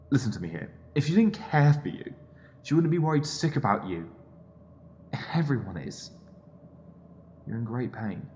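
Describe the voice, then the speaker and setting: A man's voice: medium pitch, dark tone, a little hiss or hum. An actor playing a man's confident inner self in an audio drama, earnest and pleading, with an emphatic, coaxing manner.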